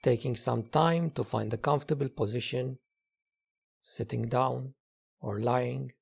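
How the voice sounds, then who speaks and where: A man leading a guided meditation as a teacher, speaking gently in short phrases with long pauses. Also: mid-range voice, fairly even intonation, gravelly, breathy edge. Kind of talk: guided meditation, slow spoken instruction.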